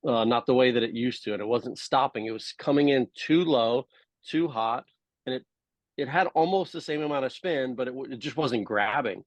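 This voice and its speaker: Dry-sounding man, casual halting storyteller. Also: mid-range voice, no room sound. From Hawaii, United States. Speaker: podcast guest, former tour golfer and coach. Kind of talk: casual interview chat.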